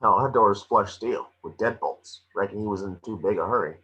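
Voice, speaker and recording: A man's voice: on the low side, fairly quick, fairly bright tone, slightly rough. A man playing a confident, plainspoken rural workman in a read screenplay, on muffled video-call audio. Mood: matter-of-fact.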